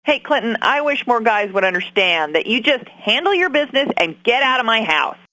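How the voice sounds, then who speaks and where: Bright, sassy female radio caller. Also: fairly high pitch, sing-song, fairly quick, no echo, rough recording. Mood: sassy, dismissive. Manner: blunt, emphatic, curt. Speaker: radio call-in listener. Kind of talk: radio call-in chat.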